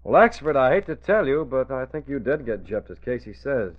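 A resolute, authoritative boss in an old-time radio drama. His medium-pitched voice moves animatedly while staying reasoned and explanatory. The sound is tinny and narrow. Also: very animated, brisk. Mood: reasoning, amused. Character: level-headed, determined male boss, secret crime fighter. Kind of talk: 1940s radio drama acting.

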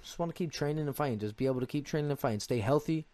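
A man's voice: on the high side, racing, a little mellow, no room sound. A man in his twenties speaking as a podcast guest in a casual, slangy and earnest way, repeating short phrases for emphasis. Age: 20s. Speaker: podcast guest, amateur MMA fighter. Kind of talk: casual chat.

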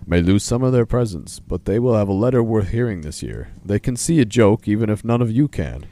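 Man playing a loud, cheeky polar bear. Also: on the low side, lively intonation, fairly quick. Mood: cheeky, defiant.

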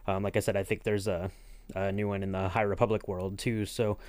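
A man's voice: deep voice, level tone, fairly quick, darkish tone, no echo. A man co-hosting a podcast as interviewer, talking casually in a rambling, enthusiastic way with many you-knows. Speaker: podcast co-host acting as interviewer.

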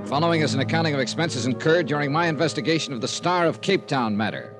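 A man's voice: on the high side, lively intonation, fast, music in the background, natural voice. An American man playing a wry, cool insurance investigator in 1950s radio detective style, with clipped delivery. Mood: dry narration. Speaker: radio drama lead and narrator.

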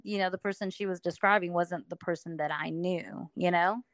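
A woman's voice: lowish voice, normal speed, no echo, clean studio sound. A middle-aged woman from Alabama, telling her story as a guest in a casual interview, chatty with many fillers.

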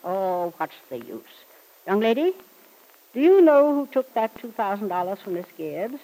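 A woman's voice: mid-range voice, very animated, normal speed, warm tone, lightly acted, rough recording. An actress playing a brusque, imperious wealthy old woman in a vintage radio serial, with formal, commanding diction. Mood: imperious, impatient. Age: older. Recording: vintage radio drama recording.

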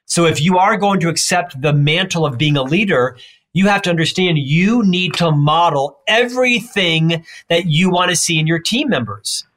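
A man with a lifelong stutter, a leadership expert answering interview questions emphatically and rattling off points in quick lists. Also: fairly high pitch, fairly bright tone.

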